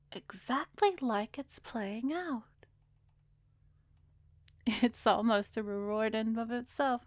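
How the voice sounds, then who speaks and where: A woman playing an arrogant, seductive vampire therapist in a roleplay ASMR, teasing and hypnotic, slipping into breathy whispers. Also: fairly high pitch, no echo, clean studio sound. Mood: teasing, commanding. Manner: haughty, teasing, drawn-out delivery with breathy whispers. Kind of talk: roleplay ASMR, slow hypnotic close-up acting.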